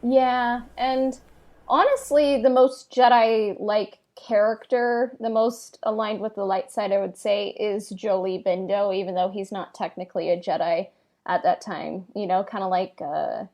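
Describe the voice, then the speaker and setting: A woman with an average-pitched voice co-hosts a casual discussion podcast. She speaks informally and tentatively, piecing her thoughts together aloud in short fragments. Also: steady tone, unhurried, a little mellow. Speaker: podcast co-host.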